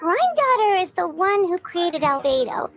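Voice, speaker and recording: A woman voicing a tiny, childlike, excitable fairy companion in a video game, eager and inquisitive with a squeaky voice. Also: moderate pace, exaggerated voice. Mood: curious, musing. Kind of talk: cartoonish video game voice acting. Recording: game music behind voice.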